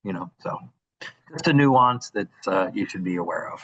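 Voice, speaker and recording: A man's voice: deep voice, lively intonation, moderate pace, lo-fi sound, a little hiss or hum. A man co-presenting a technical class, adding practical advice in a casual, conversational style.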